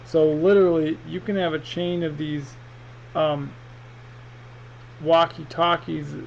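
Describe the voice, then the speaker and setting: A man's voice: a little high, level tone, slow pace. A man giving a casual, rambling, hesitant product review in an unboxing vlog, speaking off the cuff. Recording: muffled, with clear background noise.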